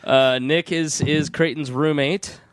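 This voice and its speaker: A man hosting a casual podcast chat, hoarse after losing his voice and loopy and giggly from cough medicine. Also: fairly high pitch, steady tone, slow, no echo. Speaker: podcast host.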